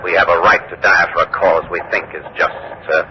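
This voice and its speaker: Casting: man playing young Confederate general, deep, terse, defiant, oratorical; dull vintage radio sound with loud hiss. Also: little pitch movement, fairly quick, natural voice. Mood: defiant, impassioned. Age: young adult. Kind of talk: old-time radio drama acting. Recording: muffled, very noisy old radio recording.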